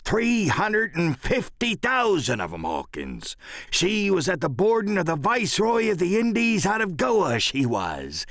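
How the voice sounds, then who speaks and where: A man's voice: sits high, wildly swooping pitch, unhurried, fairly bright tone, dry recording, studio quality, voice pushed up, exaggerated voice. A man playing a sly, folksy old pirate sea cook who drawls in rustic sailor dialect for an audiobook radio play. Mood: fond storytelling.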